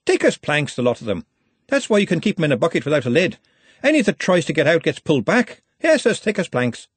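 A man's voice: fairly high pitch, wildly swooping pitch, fast talker, slightly rough, no room sound, pitched above the performer's own voice, heavily acted. A man voicing a cheerful, motherly woman who sells seafood, in an audiobook. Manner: chirpy, chatty hawking. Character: cheerful self-made market fishwife, brisk and motherly.

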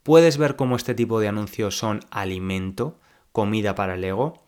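A young man from Spain with a Spanish accent, hosting a podcast lesson for learners and speaking slowly and clearly like a teacher. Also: lowish voice, a little mellow. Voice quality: slow, clear teacher speech.

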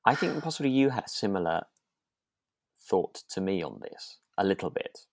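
Very low-voiced woman, tentative chat. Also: big pitch swings, normal speed, creaky, darkish tone, no room sound, studio quality. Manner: tentative, hedging, conversational. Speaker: podcast co-host. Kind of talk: relaxed chat discussing a radio drama.

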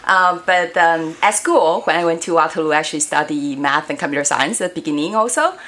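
A woman's voice: sits low, fairly quick, fairly bright tone. A woman in her forties, from Taiwan and not an English speaker, talking friendly and hesitantly as an interview guest. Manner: friendly, hesitant, many fillers. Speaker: guest, robotics education director. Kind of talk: casual interview chat.

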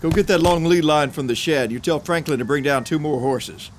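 A man playing a gruff, curt, commanding sawmill foreman in an 1890s frontier film drama, with ambient noise. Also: fairly high pitch, brisk, close to an everyday voice. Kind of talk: dramatic film script acting.

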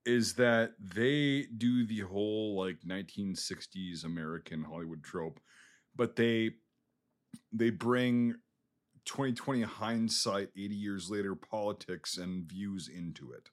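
A man's voice: lowish voice, unhurried. A man on a film podcast, ranting casually about a movie he disliked, swearing often and restarting his sentences as he goes. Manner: profane, exasperated, rambling with restarts.

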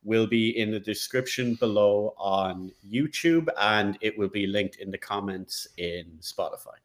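A man's voice: lowish voice, a little slow. A man hosting a podcast with casual banter and a playful, wry manner. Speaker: podcast host.

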